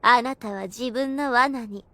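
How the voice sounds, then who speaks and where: A woman playing a scheming, excitable undead girl with affected courtly speech in an anime audio drama. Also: on the high side, measured pace. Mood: smug.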